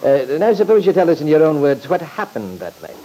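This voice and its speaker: A man's voice: fairly high pitch, big pitch swings, brisk, dark tone. An actor playing an insistent, coaxing courtroom lawyer in an old-time radio drama, formal and stammering as he presses. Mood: probing, formal. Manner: pressing, formal, repeats himself with stammered lead-ins. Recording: noisy vintage radio recording.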